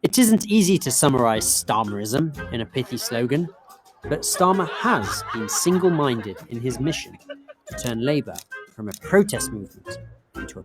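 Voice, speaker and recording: Lively male explainer narrator. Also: mid-range voice, rough recording. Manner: crisp and measured. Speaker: documentary narrator.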